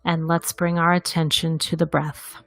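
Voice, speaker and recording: A woman leading a guided meditation in a gentle, deliberate manner, leaving long pauses between phrases. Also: low-pitched, little pitch movement.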